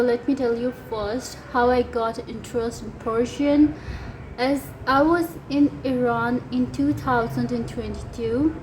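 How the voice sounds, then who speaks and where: Pakistani woman with a high voice, Urdu native, teaching casually and pausing on fillers, with faint noise behind. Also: a little mellow, poor audio. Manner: hesitant, many fillers. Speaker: language teacher. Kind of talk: casual language lesson.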